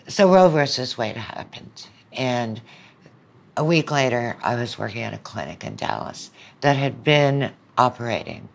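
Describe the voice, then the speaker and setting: Older woman, seventies, interview guest, conversational storytelling, recalling the past. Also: rumbling low. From southern United States. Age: 70s.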